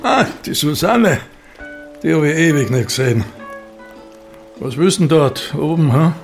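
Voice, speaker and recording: A slow-talking gruff Austrian innkeeper. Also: male voice, on the high side, big pitch swings, soft background music, full character voice. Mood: sceptical. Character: gruff, sceptical male rural Austrian innkeeper. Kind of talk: audio drama dialogue in dialect.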